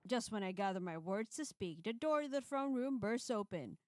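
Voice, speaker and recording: Brief: woman streamer, expressive story reader, blunt casual asides, dry close sound. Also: medium pitch, moderate pace, no echo. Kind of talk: reading a visual novel aloud on stream.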